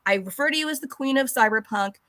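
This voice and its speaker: A woman's voice: high-pitched, fast, pushed and bright, no room sound. Chatty Californian woman host. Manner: chatty, laughing, says "like" often. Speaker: podcast host. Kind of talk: casual interview chat.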